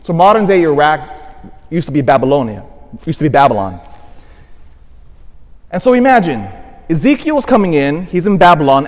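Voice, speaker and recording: A man, a priest, giving a homily with a storytelling manner, dropping to a hush and rising to animated emphasis. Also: a little high. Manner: storytelling, swings from hushed to animated. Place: church. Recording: long reverb of a church.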